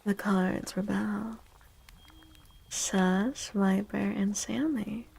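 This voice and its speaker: Slow, husky, soft-spoken ASMR woman. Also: medium pitch, steady tone. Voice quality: soft, breathy, husky, often near whisper. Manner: gentle, playful, repeats words and phrases softly. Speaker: ASMR streamer, tarot reader. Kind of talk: soft-spoken ASMR livestream, chatting with viewers.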